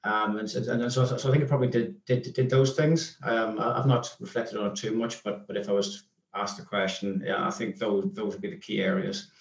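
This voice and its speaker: A man's voice: lowish voice, steady tone, brisk, vocal fry, few pauses. A man in his thirties with a Northern Irish accent, a guest answering questions in a hesitant way with many restarts. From Northern Ireland. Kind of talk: casual interview chat. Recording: echoey room.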